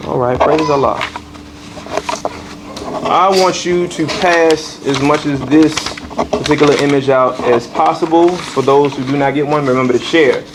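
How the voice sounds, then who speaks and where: A man's voice: on the high side, steady tone, moderate pace, heavy background noise. A man teaching a congregation in a temple hall, repeating key points and checking in with "all right". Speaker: religious teacher.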